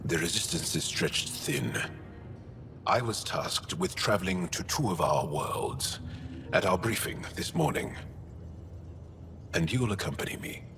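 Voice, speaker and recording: An actor playing a grave, proud alien resistance fighter in a video game, speaking deliberately and solemnly. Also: male voice, sits low, expressive, a bit bright, heavily acted. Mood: matter-of-fact. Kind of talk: dramatic game dialogue. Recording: music behind the dialogue.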